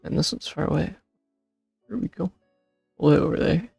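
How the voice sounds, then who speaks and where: A man with a gravelly, husky voice giving casual commentary as he plays a game, music behind him. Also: on the high side, normal speed, darkish tone, no room sound, studio quality. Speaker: streamer playing a game. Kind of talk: casual commentary, reading game text aloud.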